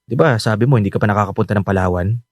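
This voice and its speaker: A man's voice: fairly low pitch, very fast, no room sound, close to an everyday voice. A man acting an earnest young husband who feels neglected, with a Filipino accent, in a radio drama. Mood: easygoing, hopeful. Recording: dry studio drama over background music.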